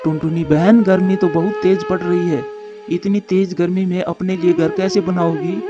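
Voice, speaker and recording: Man voicing an earnest high-pitched cartoon bird. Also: steady tone, normal speed, muffled recording, music in the background, natural voice. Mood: concerned. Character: male cartoon bird, earnest protective brother and husband. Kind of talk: Hindi moral-story cartoon dubbing.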